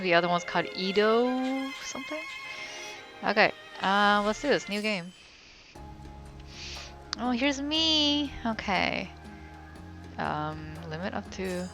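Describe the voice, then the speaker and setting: A young woman streams a video game in a fairly low voice full of creaky fry. She comments casually and often murmurs to herself as she goes. Faint music plays behind her. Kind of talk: casual let's play commentary.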